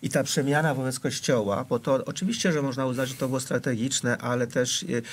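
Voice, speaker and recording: A man's voice: mid-range voice, fairly even intonation, fairly quick, slightly rough. A man speaking as a studio guest in a political discussion show, hesitant and full of fillers as he argues his point.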